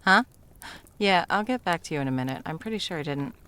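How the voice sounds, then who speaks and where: A woman's voice: deep voice, expressive, fast, a bit bright, smooth, dry recording. A woman playing a frazzled, sarcastic, foul-mouthed Christmas delivery elf in a whimsical comedic ASMR roleplay, muttering and often dropping to whispers. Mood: awkward, caught out.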